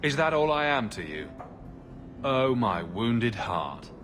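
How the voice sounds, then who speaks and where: An actor playing a cocky, playful adventurer hero in a video game, bantering with a romantic streak. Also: male voice, mid-range voice, very animated, takes it slowly, fairly bright tone, faint music behind.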